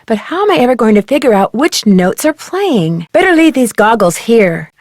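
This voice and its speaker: A woman playing an upbeat, curious and polite young amateur detective in scripted adventure game lines, clearly enunciated. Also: on the high side, very animated, normal speed, darkish tone, no echo, clean studio sound. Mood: pondering. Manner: perky, clearly enunciated, musing to herself or guiding the player. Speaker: video game heroine and tutorial guide.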